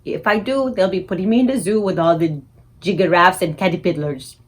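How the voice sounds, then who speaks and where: A woman voicing a big, gentle old male giant who mangles his grammar and invents words. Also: on the low side, normal speed, natural voice. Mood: earnest. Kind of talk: audiobook character reading.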